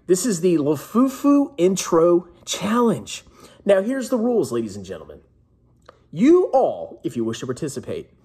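Man, thirties, husky voice, very animated pitch, brash and jokey, casual vlog host. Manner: brash, jokey, emphatic showman. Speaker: personal finance vlogger, host. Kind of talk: casual announcement to camera. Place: small indoor room at home.

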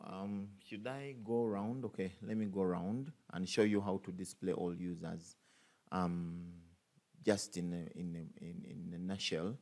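A man's voice: low-pitched, fairly even intonation, unhurried, a little mellow. A man teaching a coding tutorial over a screen recording, thinking aloud with many fillers and restarts. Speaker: programming tutor.

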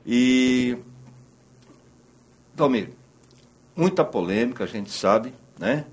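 A man's voice: mid-range voice, animated, very slow, husky. A man in his fifties with a Brazilian Portuguese accent, hosting a cooking show in a studio kitchen, chatting in a joking, rambling way while he cooks. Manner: joking, rambling, self-interrupting; cooking at the counter while talking. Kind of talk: casual cooking-show chat. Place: TV studio kitchen set, indoors.

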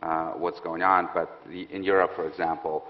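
A man in his thirties, a security expert on a stage panel, explaining in a quick, assured way. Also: sits low, little pitch movement. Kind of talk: panel interview answer. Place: stage set with audience, indoors. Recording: muffled hall with long reverb.

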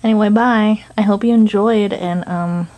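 A woman's voice: mid-range voice, fairly even intonation, normal speed, mellow, poor audio. A woman chatting casually in a playful, gushing, goofy way.

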